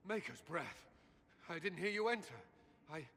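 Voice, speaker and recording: Haunted soldier commander, halting and intense, tense bright voice, long reverb. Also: male voice, sits high, lively intonation, a little hiss or hum, exaggerated voice. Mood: anguished. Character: troubled male soldier commander, haunted and guilt-ridden. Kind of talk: video game dialogue.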